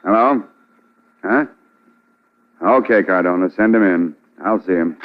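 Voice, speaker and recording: An actor playing a busy, genial but gruff police commissioner in an old radio drama, brisk and informal. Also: male voice, low voice. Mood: businesslike. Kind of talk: old-time radio acting. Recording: dull old radio recording.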